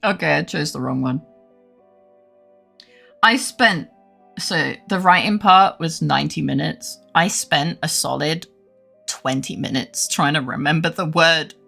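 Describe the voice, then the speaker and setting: A young woman streamer chatting casually while gaming, animated and swearing freely with frequent laughs. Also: low-pitched, measured pace, gravelly, long pauses, soft background music. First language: English.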